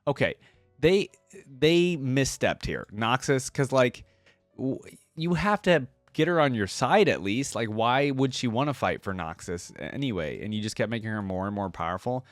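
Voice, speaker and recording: A man's voice: mid-range voice, expressive, normal speed, dry recording, soft background music. A man hosting a reaction video, reading story lore aloud and breaking into casual commentary.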